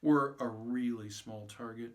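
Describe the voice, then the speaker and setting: A man's voice: mid-range voice, steady tone, a little slow. A man, an author, informally introducing and narrating his own short story.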